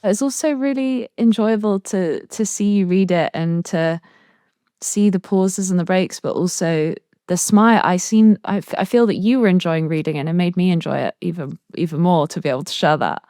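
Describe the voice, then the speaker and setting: A woman's voice: lowish voice, moderate pace, dark tone, no room sound, clean studio sound. A woman interviewing in a conversational way, effusive and appreciative as she talks.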